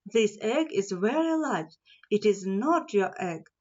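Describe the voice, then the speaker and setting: Woman voicing a slow, wise old male owl. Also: mid-range voice, lively intonation, darkish tone, muffled recording. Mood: firm, wise. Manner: slow, measured, declaring.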